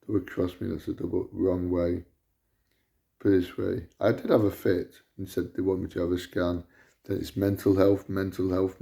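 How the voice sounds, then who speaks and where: A man with a loud voice, delivering an indignant, repetitive rant to camera as a vlogger. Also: low voice, gravelly. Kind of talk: ranting monologue to camera.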